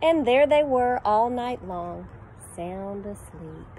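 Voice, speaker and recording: A woman's voice: medium pitch, expressive, takes it slowly, dark tone, a little hiss or hum. A middle-aged woman, a preschool teacher, reading a picture book to children in a sing-song voice, sometimes making animal sounds, recorded outdoors on a phone camera. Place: outdoor deck in a backyard. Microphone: phone camera at arm's length.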